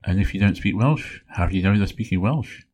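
Podcast host: a man with a deep, slightly husky voice, measured and methodical as he explains. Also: expressive, a little mellow. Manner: measured, explanatory, works through points one by one. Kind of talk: solo educational podcast talk.

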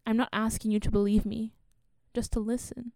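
An actress playing a solemn, resolute woman in a radio play, speaking in a measured, earnest way. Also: fairly high pitch, flat delivery, mellow, no room sound, close to an everyday voice. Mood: grave, insistent. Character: solemn, resolute woman confessing a long-hidden identity and grief.

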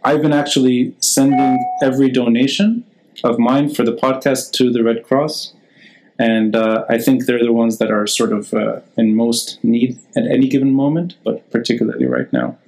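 A man hosts a live, unedited podcast interview in a mid-range voice. His talk is informal and hesitant, and he thinks out loud as he goes, chatting with a guest over a video call. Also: mid-range voice, fairly even intonation, moderate pace, vocal fry, faint music behind, poor audio. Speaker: podcast host. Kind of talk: unscripted live interview, casual chat.

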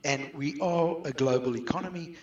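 A man's voice: on the high side, moderate pace, dull audio. A middle-aged man with a South African accent, answering interview questions conversationally as a business guest. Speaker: guest outsourcing business expert. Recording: roomy echo, distant from mic.

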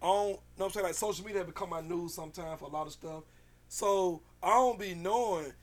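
A man with a raspy voice telling a story in casual, slangy podcast chat, animated and swearing freely. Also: high-pitched, fast.

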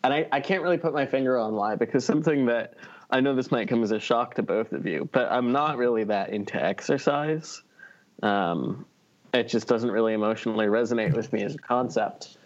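A man's voice: medium pitch, brisk, muffled recording. A man chatting casually as a podcast co-host, telling personal anecdotes in a wry, self-deprecating way.

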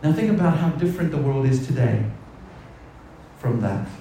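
A man preaching a sermon to his congregation, throwing rhetorical questions at them and shifting between quick asides and slow, low, weighty phrases. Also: lively intonation, gravelly, warm tone, roomy sound, slight background noise, rough recording. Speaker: pastor.

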